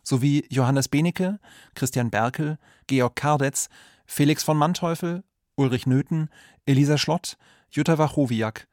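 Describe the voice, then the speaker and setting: A man announcing the credits of a radio production in an even tone. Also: on the high side, unhurried, dry recording, clean studio sound.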